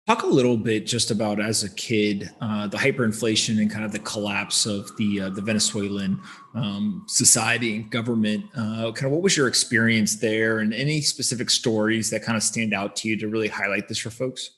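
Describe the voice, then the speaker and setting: A man's voice: lowish voice, fairly even intonation, a little fast, fairly bright tone, a little hiss or hum. A man hosting an interview podcast, upbeat and punchy as he leads into questions. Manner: upbeat, punchy catchphrase openers. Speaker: podcast host. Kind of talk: casual interview.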